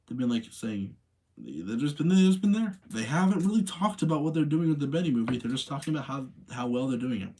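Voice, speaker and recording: A man hosting a livestream, talking casually in an informal, rambling, slangy way with a husky voice. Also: mid-range voice, warm tone, low-quality recording. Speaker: livestream host. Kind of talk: casual livestream chat and commentary.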